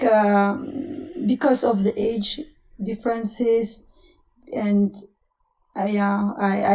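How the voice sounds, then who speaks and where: Italian-accented woman, slow and halting. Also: medium pitch, dark tone, low-quality recording. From Italy. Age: 30s. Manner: halting, many fillers and restarts, laughs often. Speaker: caller. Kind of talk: casual live call-in chat.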